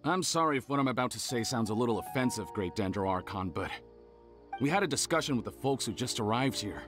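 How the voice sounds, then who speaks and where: A man's voice: mid-range voice, expressive, fast, over music, close to an everyday voice. An actor playing a skeptical, reluctant male villager in a video game, hesitant and a bit defensive.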